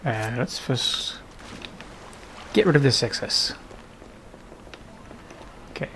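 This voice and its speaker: A man's voice: mid-range voice, lively intonation, slow, fairly bright tone, a little hiss or hum. A man giving casual let's play commentary, muttering to himself in short remarks. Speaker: gaming video creator. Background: faint game music.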